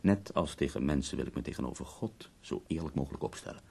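A bedridden poet near death in a radio play. His voice is extremely low, gravelly and husky, and he speaks with wry, theatrical eloquence, leaving weary pauses between phrases. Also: a little mellow, full character voice. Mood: ironic confiding. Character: bedridden, dying poet, ironic, complaining and theatrical. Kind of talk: radio drama acting.

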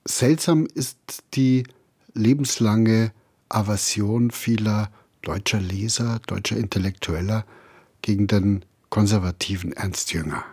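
A man presenting in a measured, scripted radio commentary style. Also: lowish voice, a little mellow, husky, no echo.

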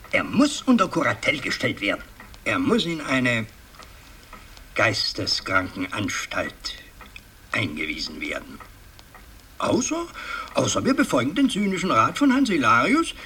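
An old aristocratic patriarch in a 1960s radio play. He declaims in a mid-pitched, very animated voice, imperious one moment and evasively muttering the next, with halting breaks. There is a faint layer of noise on the aged recording. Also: full character voice. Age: older. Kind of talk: 1960s German radio drama acting.

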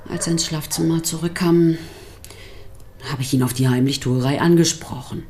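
A woman playing a composed pastor's wife in a German radio drama, giving testimony in a dry, measured, ironic way. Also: low-pitched, steady tone, warm tone, natural voice. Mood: reflective, wry. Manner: dry, measured, delicately euphemistic. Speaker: actor in a radio play.

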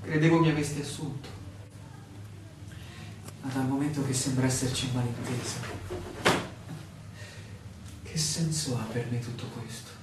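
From far off in a very echoey room, an actor plays a weary, bewildered man in a radio drama. He speaks in a theatrical, searching way, as if pleading for answers. There is clear noise around his voice. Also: mid-range voice, fairly even intonation, measured pace, pauses often, close to an everyday voice. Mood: weary, disillusioned. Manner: theatrical, searching, full of questions. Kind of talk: Italian stage-style radio drama acting. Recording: very echoey, distant, clearly noisy room recording.

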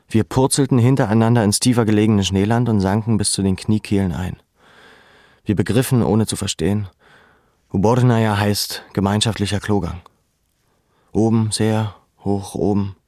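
A man looking back on his deportation to a camp. His voice is low and creaky, recorded very dry and close. He narrates in a measured, reflective way, as in a literary audio drama. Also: darkish tone, dry recording. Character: male camp survivor soberly recalling his deportation.

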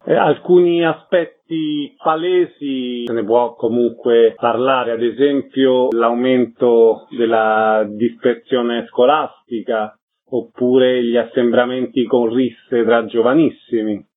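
A man's voice: medium pitch, fairly even intonation, slow pace, narrow, tinny audio. A man hosting a radio interview, introducing guests and putting questions in a scripted presenting style.

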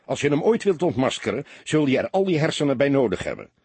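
A man voicing a seasoned, laconic police inspector who lectures his young partner calmly and a little gruffly, with a husky voice. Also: mid-range voice, quick pace, no echo, voice pushed up. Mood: stern.

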